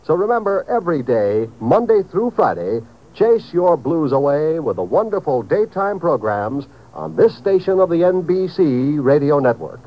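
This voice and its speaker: Casting: male American network announcer, upbeat pitchman delivery with big swooping intonation, dull vintage radio sound. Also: sing-song, normal speed. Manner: upbeat, polished, salesmanlike. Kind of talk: 1950s radio promotional announcing.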